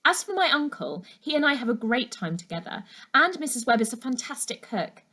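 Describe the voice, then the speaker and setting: A woman's voice: high-pitched, big pitch swings, a little fast, bright, forceful tone, pitched above the performer's own voice, heavily acted. A woman voicing a polite, curious eleven-year-old schoolgirl in a children's story, earnest and a little guarded. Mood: loyal, upbeat.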